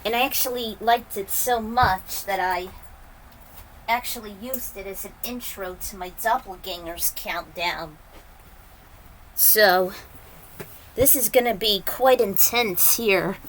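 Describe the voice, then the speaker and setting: A woman's voice: mid-range voice, slow, slight background noise, poor audio. A woman hosting a story reading, giving an informal, conversational recap and introduction. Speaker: host reading own fan fiction aloud.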